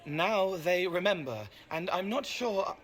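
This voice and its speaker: A childlike, troubled young man who was once a spirit. He talks slowly and haltingly, with a plain, wistful tenderness, in video game cutscene style. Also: high-pitched, animated, a bit bright, full character voice. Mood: sad, searching.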